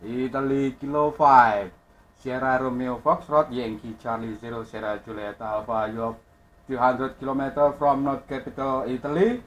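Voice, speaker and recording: A man's voice: mid-range voice, steady tone. Indonesian-accented ham radio man, noisy background. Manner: friendly, halting, repeats phrases. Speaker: amateur radio operator. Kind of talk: ham radio contact, spelling callsigns phonetically.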